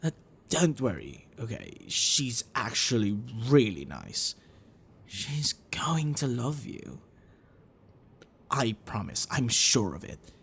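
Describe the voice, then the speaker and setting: A man playing a gentle, bookish half-dragon boyfriend in an intimate ASMR roleplay, affectionate and apologetic, speaking haltingly and softly. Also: medium pitch, very animated, vocal fry, breathy edge, heavily acted. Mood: soft, reassuring. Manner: halting, soft chuckles and sighs, dropping to whispers.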